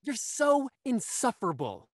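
Man acting a brash, animated young guy. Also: very high-pitched, unhurried, no room sound, studio quality, heavily acted. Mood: angry, sneering. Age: young adult. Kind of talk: audio drama acting.

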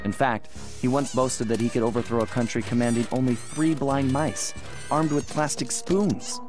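A man's voice: medium pitch, steady tone, moderate pace, natural voice. A man voicing a suave, cocky young raccoon thief in a wry, confident cartoon game style. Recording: music behind the voice.

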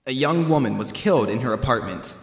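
An actor playing a nervous, earnest young defense attorney in a fan-dubbed courtroom game, with a hesitant manner. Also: male voice, mid-range voice, expressive, a little fast, lightly acted. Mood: earnest narrating. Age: young adult. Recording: echoey reverberant room.

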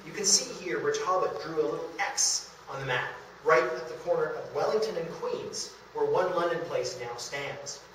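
A man's voice: on the high side, normal speed, hardly any pauses, a bit bright. A man giving an illustrated history lecture as the speaker at a live talk. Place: meeting room in a historic house, before an audience. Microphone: distant camera in the audience. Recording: very echoey room, far off, faint noise.

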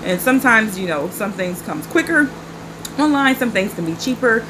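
A woman's voice: mid-range voice, normal speed, noisy background. A woman in her forties from the Carolinas with a stuffy nose and a slightly nasal voice, chatting casually to camera as a vlog host. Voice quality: a little nasal. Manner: relaxed, chatty, smoking while she talks. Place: outdoor patio at night.

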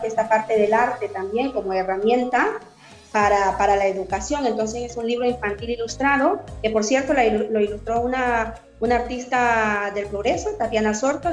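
A woman in her thirties from Honduras, an author speaking as a guest over a video call, thoughtful and hesitant, with many fillers and restarts. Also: fairly high pitch. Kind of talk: remote interview. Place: indoor room at home. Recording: roomy video call with faint music.

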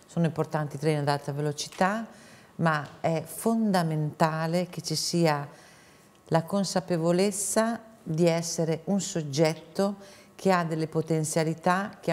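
A woman's voice: on the low side, darkish tone. A woman in her sixties making her case emphatically as a guest on a studio panel. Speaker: tourism board president, panel guest. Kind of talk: argumentative panel talk. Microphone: lapel mic.

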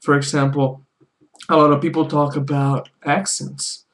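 A man's voice: fairly high pitch, level tone. A man from Brazil with a Brazilian Portuguese accent, speaking English as a second language and explaining his views on language learning in a casual talk to camera, with frequent restarts. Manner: explaining, with many restarts and drawn-out words.

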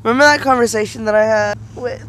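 A man's voice: very high voice, fast, fairly bright tone. A young American man chatting casually and sarcastically, recounting arguments and mockingly quoting other people. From United States. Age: young adult. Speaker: vlogger. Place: outdoors by a fence near a drive-through. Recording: outdoor street noise behind.

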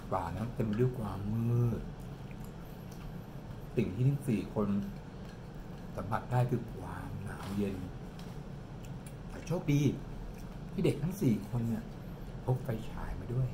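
A man's voice: mid-range voice, background noise. Man spinning a spooky tale at home. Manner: animated, dramatic storyteller who slows down for suspense. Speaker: father telling a bedtime-style ghost story to his daughter. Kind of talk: improvised storytelling. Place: home living room, indoors. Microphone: camera on the table in front, close.

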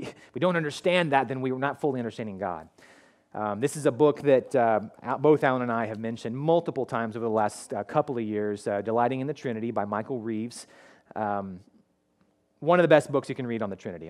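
A man in his thirties preaching a sermon in a school hall, talking in a conversational, animated way with many restarts, on a headset mic. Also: mid-range voice. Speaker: pastor. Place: school hall with curtained stage.

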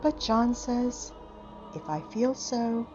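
A woman's voice: fairly high pitch, expressive, takes it slowly. A woman reading a first-person literary story aloud as a dramatic audiobook narration. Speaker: audiobook reader. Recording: dull lo-fi, music behind. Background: music.

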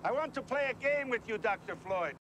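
A middle-aged Soviet scientist who probes with calm, measured words in a film scene. His voice sits high for a man, and a faint hiss lies under it. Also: distant mic. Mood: probing. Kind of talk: film dialogue.

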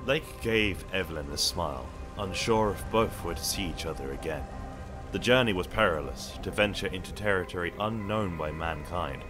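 A man's voice: sits low, moderate pace, over music, close to an everyday voice, poor audio. A man playing a solemn storyteller, reading scripted narration for an audio drama. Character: solemn male storyteller narrating a polar expedition.

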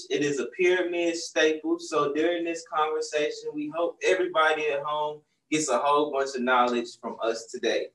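A young man chats casually with kids about the picture book he wrote, his words a little softened by a face mask. His voice sits a bit high for a man. The sound reaches the camera from some distance in a roomy bookstore. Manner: relaxed, conversational, speaks through a face mask. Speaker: children's book author presenting his book. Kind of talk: casual storytime talk to kids. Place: bookstore, seated on a stool among shelves. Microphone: far camera.

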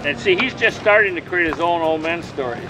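A man's voice: fairly high pitch, brisk, over music, low-quality recording. An older man hosting a casual chat on a marina dock, chatty and teasing, handing out tips. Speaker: host filming the interview. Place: outdoor marina dock by the water.

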